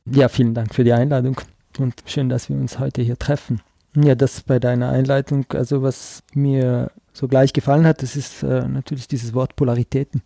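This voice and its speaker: Gravelly-voiced South Tyrolean man musing. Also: mid-range voice, level tone, normal speed, warm tone, no room sound. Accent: South Tyrolean German. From South Tyrol, Italy. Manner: searching, full of fillers and restarts, thoughtful. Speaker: artist, podcast guest. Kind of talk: reflective conversational interview.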